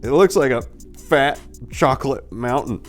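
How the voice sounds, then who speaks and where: A disgusted young club woman put on by a male reader talks in halting, raised tones. She sounds blunt, a character in a crime audiobook. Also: medium pitch, animated, soft background music, pitched above the performer's own voice, natural voice. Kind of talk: dramatic reading of crime fiction.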